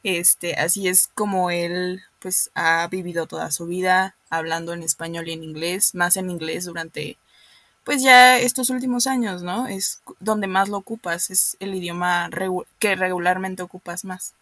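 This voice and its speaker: A woman's voice: lowish voice, steady tone, moderate pace, fairly bright tone, dry recording. A woman hosting a casual conversation, explaining things directly to her listeners. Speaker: host.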